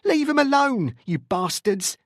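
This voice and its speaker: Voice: medium pitch, extremely slow, gravelly, no echo, clean studio sound, voice pushed up. A narrator voicing a protective, distraught working-class mother who protests and pleads. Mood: angry protest.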